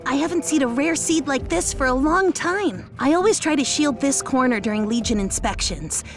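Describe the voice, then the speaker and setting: A woman voicing a timid, gentle rabbit botanist in a video game, plaintive and hesitant. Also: high voice, lively intonation, moderate pace, over music. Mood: earnest, grateful. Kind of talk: video game cutscene acting.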